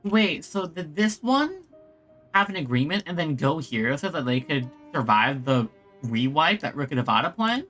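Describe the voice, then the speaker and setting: A woman streaming a video game, giving casual, expressive, reactive commentary. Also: low voice, moderate pace, soft background music.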